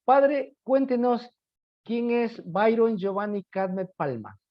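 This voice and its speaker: A male radio host with an Ecuadorian accent and a notably high voice. He presents formally and courteously, as if from prepared notes. The sound is very dry and a little dull and lo-fi. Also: lively intonation, takes it slowly, dry recording. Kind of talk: scripted radio presenting and interviewing.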